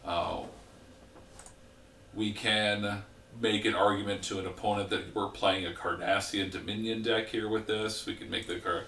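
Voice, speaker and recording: A man hosting a gaming channel, explaining a card game deck in a rambling, relaxed way with a congested voice that is giving out. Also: lowish voice, measured pace, roomy sound, far from the mic, slight background noise.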